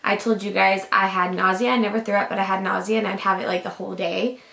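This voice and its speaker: Young woman vlogger, casual and rambling, groggy raspy voice from a lingering cough, mid pitch, echoey room. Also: level tone, fast talker, a bit bright. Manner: chatty, rambling, lots of likes and ums. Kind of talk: casual chat to camera.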